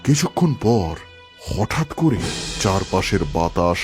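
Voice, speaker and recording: Man doing serious tantrik priest telling a cartoon ghost story, deep voice, solemn n measured, music behind. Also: very animated. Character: grave male tantric priest narrating a ghost tale. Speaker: narrator and occult priest. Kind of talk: scripted storytelling, animated horror folktale.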